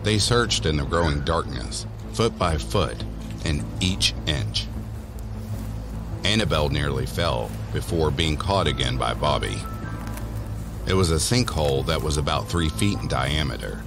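A man giving measured, deliberate narration for a scripted horror audio drama. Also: a bass voice, expressive. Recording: voice over background music.

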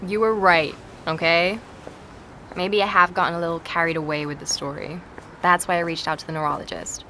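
A woman's voice: fairly low pitch, steady tone, a little fast, bright, forceful tone, slight background noise. A woman playing an inquisitive, stubborn podcast investigator in a naturalistic, conversational audio drama. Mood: defensive. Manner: questioning, conversational.

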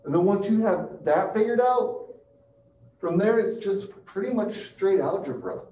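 A man in his thirties giving a math lecture in halting phrases, often checking in with his listeners, picked up by a distant camera mic in a classroom. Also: sits high, lively intonation, warm tone. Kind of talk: classroom calculus lecture, working through steps aloud. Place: small classroom with chalkboard and projector. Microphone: far camera. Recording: muffled, roomy, distant mic with hiss.

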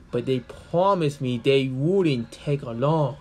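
A man's voice: mid-range voice, slow pace, a little hiss or hum, pitched below the performer's own voice, natural voice. A man voicing a small, wistful, curious hedgehog in an amateur comic dub, musing aloud.

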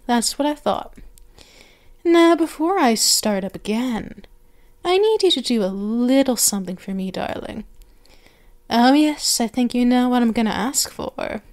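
Slow, cooing vampire mistress played by a woman. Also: fairly high pitch, a little mellow. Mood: teasing, commanding. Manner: slow, cooing, with whispered phrases and drawn-out words. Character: doting, teasing, possessive female vampire mistress. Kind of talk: intimate ASMR roleplay.

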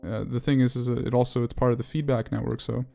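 A man giving an unscripted tutorial walkthrough, talking in a halting way as he thinks aloud. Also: medium pitch, little pitch movement, gravelly, dark tone. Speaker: audio plugin demonstrator.

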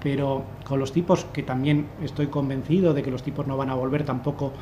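A man's voice: mid-range voice, fairly even intonation, fairly quick, muffled recording, noisy background. A man in his thirties speaking on a panel in a conference room, explaining his analysis with many restarts. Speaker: fund manager on a panel. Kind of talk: panel discussion. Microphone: desk microphone.

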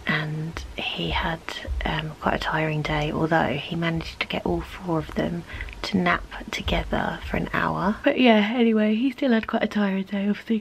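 A woman's voice: medium pitch, gravelly, slight background noise, low-quality recording. A woman, half-whispering casual chat while lying in bed with a stuffy nose and a sore throat, recorded on a camera close to her pillow. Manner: half-whispered, sleepy morning talk from bed. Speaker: vlogger.

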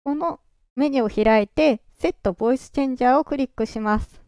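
A presenter walking through software setup step by step in a calm, explaining way, their voice run through a pitch-raising voice changer. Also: fast, clean tone, no room sound, studio quality. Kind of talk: screen-recorded software walkthrough.

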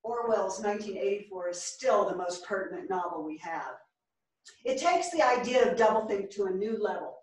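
A woman's voice: mid-range voice, lively intonation, normal speed, close to an everyday voice. A woman playing an opinionated, wry grumbler who makes declarations in a staged play reading in a theater. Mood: declaiming. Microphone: far camera. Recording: echoey theater, distant camera microphone.

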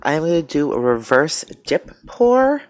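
A woman's voice: sits low, big pitch swings, measured pace, darkish tone. A woman with a congested, nasal voice from a cold, chatting informally and a little ramblingly as she presents a hands-on craft unboxing. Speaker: craft vlogger. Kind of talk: casual hands-on unboxing chat. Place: indoors at a craft table.

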